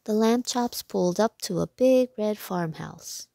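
A woman narrating a children's storybook aloud in a measured, clearly phrased way. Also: mid-range voice, warm tone, no room sound, studio quality.

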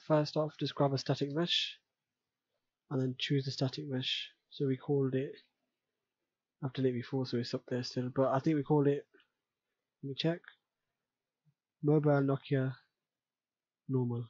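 A man talks through a tutorial in a mellow, slightly husky voice, casually thinking aloud. The recording is dry and somewhat dull, as from a close mic. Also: mid-range voice, flat delivery, normal speed, long pauses, dry recording. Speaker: tutorial presenter. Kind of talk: unscripted screen-recorded software tutorial narration.

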